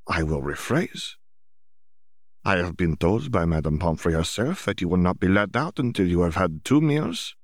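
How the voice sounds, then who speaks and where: Man voicing calm, gently firm young woman. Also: sits low, no room sound, studio quality. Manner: measured, formal, reassuring. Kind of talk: audiobook character voice.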